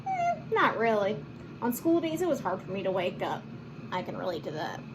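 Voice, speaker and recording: A woman reading a picture book aloud to children in an expressive, animated manner, with chatty asides and simple explanations. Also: mid-range voice, moderate pace, noisy background. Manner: animated, acts out lines and explains words to children. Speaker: children's read-aloud host.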